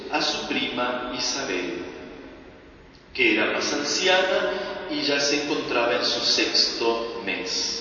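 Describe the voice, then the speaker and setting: A man with an Argentine Spanish accent delivering a sermon as a preacher, in a deliberate, measured way with emphatic pauses. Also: on the high side, bright, forceful tone. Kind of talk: sermon, religious meditation. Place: large reverberant indoor space. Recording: echoey church-like hall, distant mic, dull, faint noise.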